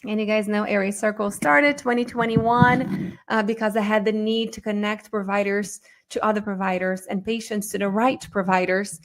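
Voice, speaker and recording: A woman's voice: mid-range voice, fairly even intonation, normal speed. Chatty woman from Brazil presenting online. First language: Portuguese. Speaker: course instructor and webinar host. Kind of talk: informal live online presentation.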